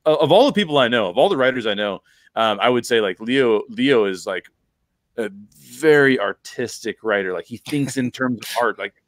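American podcast host, dry close-miked sound. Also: male voice, medium pitch, lively intonation, normal speed, no echo. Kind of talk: casual, animated podcast discussion. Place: bedroom at home, on a video call. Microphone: close desk microphone with headset.

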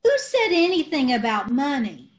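A woman's voice: sits high, very animated. A woman playing a scatterbrained, breezy middle-aged wife with earnest, muddled logic in an old-time radio comedy. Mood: innocent, chatty. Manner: earnest malapropisms, unhurried.

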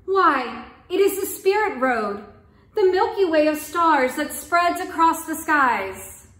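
Storybook part: a woman reading as a humble, brave young girl, high and unhurried. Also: expressive, a bit bright, reverberant, exaggerated voice. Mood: wondering.

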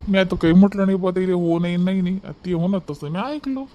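A woman's voice: on the low side, fast, warm tone, voice pushed down, lightly acted. A woman voicing a mild, resigned village man in a cartoon.

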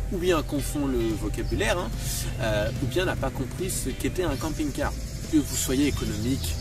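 A young man sits outside by his camper van and talks to a camera set a little way off, so he sounds somewhat distant. He rambles with plenty of hesitations but keeps pressing his point. Music plays clearly underneath. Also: medium pitch. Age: young adult. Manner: rambling with many fillers, insistent. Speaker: vlogger. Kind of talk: casual to-camera vlog. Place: outdoors beside a camper van. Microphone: camera a few feet away.

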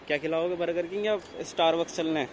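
A man's voice: a little high, fast. Young man vlogging over noise. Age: young adult. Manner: chatty, slangy, mixes in English words. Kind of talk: casual vlog chat. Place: city pavement, mall and parking area. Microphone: phone at arm's length. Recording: noisy handheld recording, traffic and ambience.

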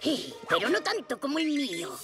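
A boastful young boy in a cartoon. The voice is high and theatrical, moving freely up and down over loud music. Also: sing-song, normal speed. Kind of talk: cartoon dub acting.

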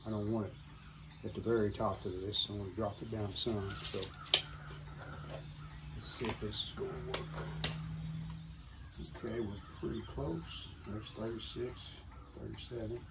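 A man's voice: fairly low pitch, fairly even intonation, moderate pace, vocal fry, long pauses. Plainspoken middle-aged man working outdoors. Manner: plainspoken, thinking aloud while working. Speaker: DIY channel host. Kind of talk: casual how-to narration. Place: outdoor concrete basement stairwell. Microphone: camera mic, sometimes far from camera. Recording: outdoor camera audio, birds and ambient noise. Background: outdoor ambience with birds.

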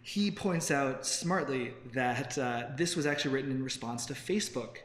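A man's voice: medium pitch, moderate pace, a bit bright. An American man in his twenties co-hosting a casual tech news chat, explaining things in an informal way. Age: 20s. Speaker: co-host reading tech news stories. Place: busy open-plan office, seated on a couch. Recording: roomy open office, faint noise.

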